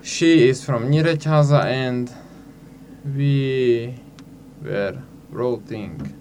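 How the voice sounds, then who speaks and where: Man guest, slow and halting, mid-pitched. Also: darkish tone, slight background noise. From Slovakia. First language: Hungarian. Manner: halting, searching for words. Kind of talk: casual interview answers.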